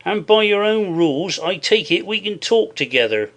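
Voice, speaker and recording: A man's voice: high voice, lively intonation, normal speed, fairly bright tone. An actor voicing a surly, defiant male pirate sailor in his thirties, stiffly formal in an audiobook. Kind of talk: audiobook character voice.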